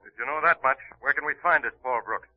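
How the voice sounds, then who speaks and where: An actor playing a brisk, puzzled police sergeant in an old-time radio crime drama. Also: male voice, medium pitch, lightly acted. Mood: brisk, wry. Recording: muffled vintage radio, tinny phone sound.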